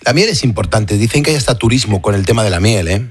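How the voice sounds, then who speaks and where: A man's voice: on the low side, animated, fast, husky. A man, hosting a casual radio conversation. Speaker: radio host.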